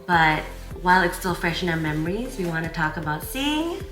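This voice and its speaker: Tired woman chatting, lower voice, echoey room. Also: very animated, brisk, a bit bright, soft background music. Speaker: vlogger. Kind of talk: casual vlog chat.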